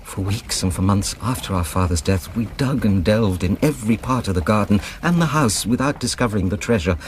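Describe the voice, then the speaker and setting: A man acting in a radio drama as a nervous, talkative gentleman, telling his tale in a formal, fussy, precise way. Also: on the low side, expressive, a little fast, slight background noise, natural voice. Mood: fussy, apologetic.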